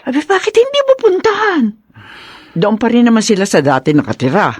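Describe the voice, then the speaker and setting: A woman's voice: a little high, huge pitch swings, brisk, a little mellow, slightly rough, no room sound, exaggerated voice. A woman playing a doting, coaxing mother of a grown son in a Filipino radio drama. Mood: gentle urging.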